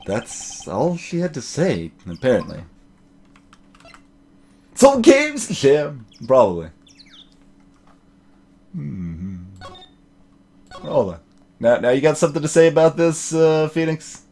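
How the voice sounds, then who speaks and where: A man's voice: on the high side, wildly swooping pitch, takes it slowly, pauses often, darkish tone. A man giving casual game commentary and reading dialogue aloud, musing and repeating his phrases as he thinks. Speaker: let's play host.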